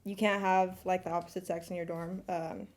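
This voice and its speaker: A young woman hosting a casual chat, relaxed and steering the talk with questions. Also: fairly low pitch, brisk, slight background noise. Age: young adult. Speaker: host.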